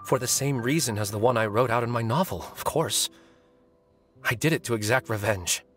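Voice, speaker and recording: A courteous male murderer from an anime game, acted by a man, with a mid-pitched voice. He speaks in an articulate, deliberate, composed way over game music. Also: a bit bright, lightly acted. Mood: quietly bitter. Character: composed, polite male novelist confessing as a killer. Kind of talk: anime video game voice acting.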